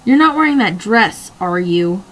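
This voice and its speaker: A hoarse woman voicing a stern, disapproving mother who scolds loudly and demands answers. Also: medium pitch, expressive, unhurried. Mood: scolding.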